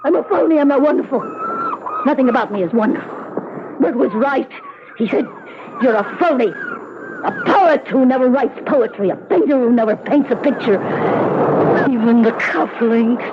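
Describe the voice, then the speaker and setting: Over a dull, noisy old radio recording comes a tormented, guilt-ridden woman painter. Her voice is very high and slowly paced, with intense, theatrical 1970s radio drama delivery. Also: expressive. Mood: anguished confession. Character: anguished, guilt-ridden woman painter, obsessed with dead husband.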